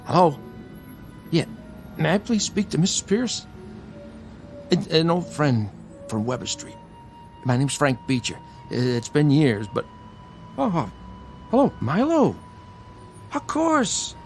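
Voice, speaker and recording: Battered, wry older brother, gravelly swoops. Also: male voice, on the high side, very animated, slow, long pauses, faint music behind, heavily acted. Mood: polite, halting. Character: beaten-up, protective older brother, wisecracking streetwise New Yorker type. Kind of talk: radio drama acting.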